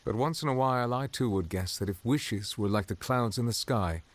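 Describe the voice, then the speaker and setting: An actor playing a dignified male chief justice who speaks in a formal, measured, deliberate way, heard as game dialogue over faint music. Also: medium pitch, natural voice.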